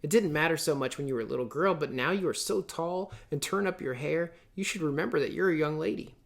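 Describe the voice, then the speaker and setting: A man's voice: a little high, quick pace, gravelly, pitched above the performer's own voice. A prim elder sister in her teens read by a man, from a storybook. The character is bossy and motherly, inclined to lecture and complain. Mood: lecturing.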